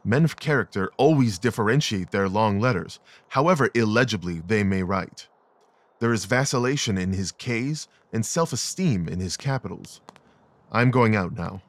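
A man playing a brilliant, coolly rational gentleman detective in an audio drama, speaking in a precise, clipped, analytical way. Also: on the low side, lively intonation, moderate pace, dry recording. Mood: cool, detached.

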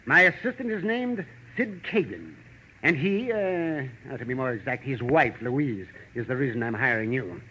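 An actor playing a gruff, middle-aged veteran cartoonist, imperious, fussy and impatient, in 1940s radio drama style. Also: male voice, mid-range voice, expressive, moderate pace, sharp tone. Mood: brisk, self-important. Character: tall, gruff, crabby veteran male cartoonist. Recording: muffled, noisy vintage radio recording.

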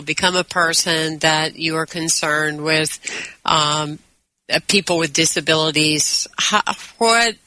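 A talk show host with a bright, forward voice, introducing a guest and asking long, searching questions in an effusive, encouraging manner. The sound is close and dry. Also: mid-range voice, dry recording, rough recording. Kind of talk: interview hosting.

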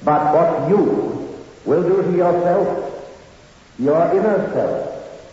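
An actor playing a solemn, scornful spirit who judges the dead in a 1960s radio horror play, speaking gravely. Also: male voice, a little high, mellow. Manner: slow, grave, pronouncing. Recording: echoing long reverb, muffled old radio.

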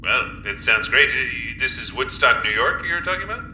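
Host: man as formal, earnest professor, sharp bright tone, lively pitch, echoey room. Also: normal speed, a little hiss or hum. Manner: stiff, formal interviewer tone, many "uh"s. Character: earnest male professor running a strange experiment. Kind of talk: improvised comedy.

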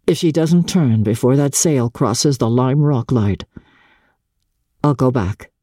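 A pensive young gentleman, voiced by a woman narrator muses inwardly in a literary audiobook. The voice is dark and husky, slow and hesitant, heavy with longing. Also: extremely low pitch, expressive, no room sound, studio quality, exaggerated voice. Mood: wistful, tense. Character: pensive, lovelorn young gentleman musing to himself.

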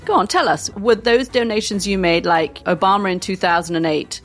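A woman's voice: medium pitch, fairly quick, faint music behind. A woman putting questions conversationally as a podcast co-host.

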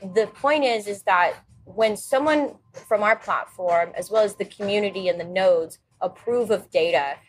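Measured woman explaining as founder. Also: mid-range voice, slight background noise, rough recording. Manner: measured, explanatory, some fillers. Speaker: founder and CEO, interview guest. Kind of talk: interview answers.

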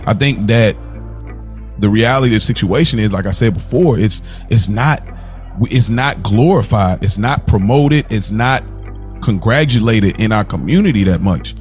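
A man's voice: lowish voice, darkish tone. A man co-hosting a podcast, speaking earnestly in long run-on stretches and repeating himself as he builds a point. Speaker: podcast co-host. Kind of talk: casual chat.

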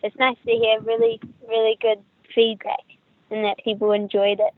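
Young woman, New Zealand accent, friendly chatty, fairly flat, tinny dry call audio. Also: on the high side, moderate pace, no room sound. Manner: friendly, chatty, with restarts and laughs. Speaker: actress, interview guest. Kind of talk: casual interview chat. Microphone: remote call.

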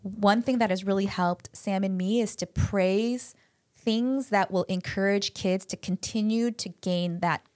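A woman's voice: mid-range voice, unhurried, a little mellow, no room sound, clean studio sound. A woman from the United States, co-hosting a podcast and giving chatty parenting advice full of "like" fillers. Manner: chatty, many "like" fillers, voices quotes animatedly.

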